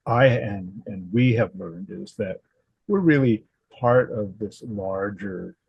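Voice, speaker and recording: A low, husky-voiced man in his seventies from Southern California talks slowly through his thoughts, pausing and restarting often. The recording is dry and muffled, with a dull, narrow sound. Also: a little mellow, no echo. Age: 70s. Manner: thoughtful, halting, many fillers and restarts. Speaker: guest, historical society president. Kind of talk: reflective interview answers.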